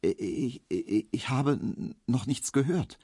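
A man's voice: mid-range voice, animated, takes it slowly, warm tone, breathy edge, no echo, studio quality, voice pushed up. A man reading a novel aloud, voicing a timid young barber's assistant who stammers. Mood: flustered. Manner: stammering, hesitant.

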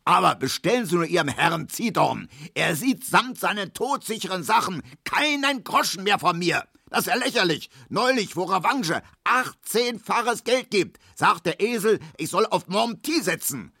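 A man's voice: low-pitched, a little fast, long pauses, dry recording, clean studio sound. A man voicing a choleric middle-aged male gambler who bellows and grumbles in exasperation. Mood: choleric bellowing.

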